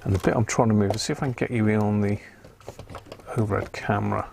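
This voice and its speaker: Low, creaky-voiced British man at a workbench. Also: steady tone, a little mellow, a little hiss or hum. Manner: unhurried, muttering to himself while working. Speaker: host of a live radio repair stream. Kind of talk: casual live workbench commentary. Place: indoor repair workbench.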